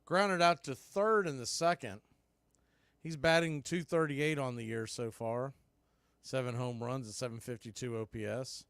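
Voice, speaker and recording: A man's voice: medium pitch, fairly even intonation, normal speed, a bit bright, clean tone, no echo. A man calling baseball play-by-play on a streamed radio show, conversational and rising on big plays. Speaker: play-by-play announcer.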